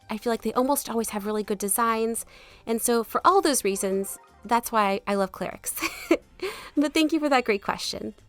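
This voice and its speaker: Chatty high-voiced woman getting over sickness. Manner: chatty, laughs easily, polite. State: losing her voice after being sick. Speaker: gaming video creator answering viewer questions. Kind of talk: casual chat over gameplay. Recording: music behind the voice. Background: background music.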